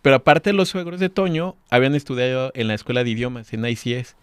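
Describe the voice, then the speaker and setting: Earnest man, smooth close voice. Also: medium pitch, fairly even intonation, fast, no echo. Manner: earnest, restarts words while thinking. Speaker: guest. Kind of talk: casual conversation.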